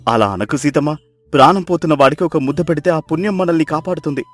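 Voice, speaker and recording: A man's voice: fairly high pitch, fast talker, natural voice. A man playing a kind, poor fisherman in a dramatized moral story, speaking earnestly and with compassion. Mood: earnest, pleading. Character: kind, poor fisherman pleading for mercy on a stranger. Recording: music behind the voice.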